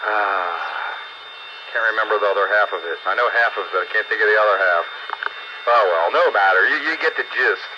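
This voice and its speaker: A man's voice: lowish voice, fairly quick. Muffled radio audio, heavy noise, man in his late fifties, folksy casual chat. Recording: noisy band-limited radio transmission.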